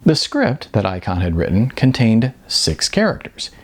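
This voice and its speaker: Man narrating his own novel, low husky voice, animated intonation. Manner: unhurried, expressive storytelling with wide swings in pitch. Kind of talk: audiobook-style novel reading.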